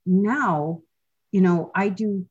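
A woman's voice: mid-range voice, slow pace, darkish tone, breathy edge, lo-fi sound. A middle-aged woman talking earnestly and reflectively as an interview guest, often restarting her sentences. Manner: earnest, reflective, with frequent restarts and "you know". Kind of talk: candid personal interview.

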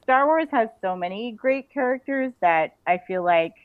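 A woman's voice: a little high, expressive, moderate pace, no echo. A woman chatting casually and enthusiastically as an interview guest, in an informal way. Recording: narrow phone-like call audio.

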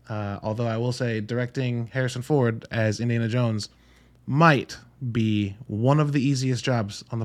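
A man with a medium-pitched voice talks casually as a podcast host giving a review. He is enthusiastic and emphatic, leaning on informal phrasing and dry, joking asides. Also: darkish tone.

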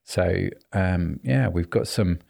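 A man hosting a podcast, chatting in a friendly, teasing way with quick restarts. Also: sits low, creaky, mellow. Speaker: podcast host. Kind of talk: casual interview chat.

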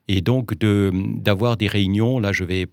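A man from Alsace, whose first language was Alsatian, answering interview questions in a measured, hesitant way with frequent restarts. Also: on the low side, steady tone, no echo, clean studio sound. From Alsace, France. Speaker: interviewee, business executive. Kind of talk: interview conversation.

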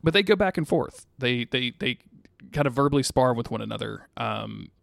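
Male podcast co-host, gravelly, dry sound; animated, slangy, profane recapper. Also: mid-range voice, moderate pace, dry recording. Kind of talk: casual TV episode recap.

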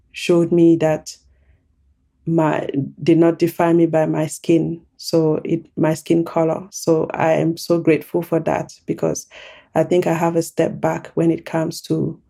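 A woman from Cameroon talks softly and reflectively, pausing on fillers. Her voice is dark and gravelly, with a flat, even pitch. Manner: soft-spoken, reflective, with hesitant fillers. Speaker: podcast guest. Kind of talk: reflective conversation.

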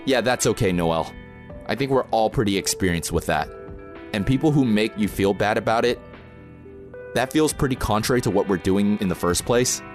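A man's voice: fairly low pitch, normal speed, soft background music, close to an everyday voice. A man playing an experienced, protective protest organizer in an audio drama, plain-spoken, informal and matter-of-fact. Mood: reassuring, brisk. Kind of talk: naturalistic audio drama acting.

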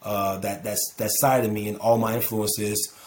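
A man's voice: fairly low pitch, flat delivery, normal speed, darkish tone, slight background noise. A man in his thirties, a guest on a podcast, talking reflectively with many repeated words. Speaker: podcast guest, music producer. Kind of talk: casual interview chat.

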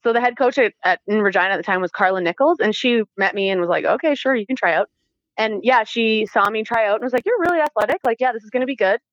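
A Canadian-accented woman telling stories casually as an interview guest, animated and informal, acting out bits of dialogue as she goes. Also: on the high side, quick pace, no echo, highs cut off. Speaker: interview guest, former Olympic athlete. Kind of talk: casual conversational storytelling.

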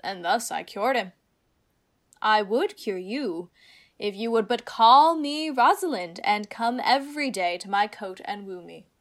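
A woman acting in a Shakespearean radio play as a witty young heroine disguised as a teasing youth, with a deliberate delivery. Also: mid-range voice, lively intonation, natural voice. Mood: coaxing.

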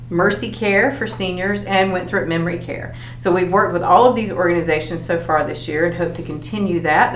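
A woman teacher hosting a school podcast, moderating a panel of students in an encouraging way. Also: lowish voice. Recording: echoey room, faint hum.

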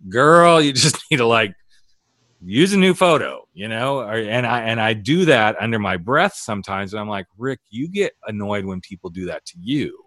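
A middle-aged man with a low voice chats as host, candid and animated. His stories slide into the voices of the people he quotes. The sound is close and dry, with no room around it. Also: moderate pace, dry recording. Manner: candid, animated storyteller who acts out quoted dialogue. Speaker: podcast host and author. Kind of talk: casual conversation. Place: US West Coast.

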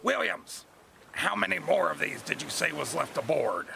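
An actor playing a harsh, domineering pirate sea captain in an audio drama, gruff and threatening. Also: male voice, a little high, animated, normal speed, bright, forceful tone, slight background noise, heavily acted. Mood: suspicious, impatient. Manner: gruff, commanding, threatening, sneering.

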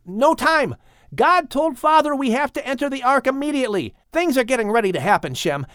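Man playing an urgent young man, animated, calling out. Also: very high voice, a little fast, no echo, clean studio sound, pitched above the performer's own voice. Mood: urgent, alarmed.

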